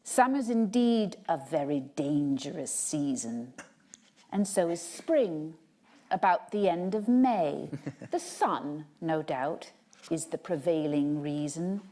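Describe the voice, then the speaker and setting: Woman, poetry recital, very unhurried pace, expressive deliberate phrasing, lowish voice. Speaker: actor reading poetry.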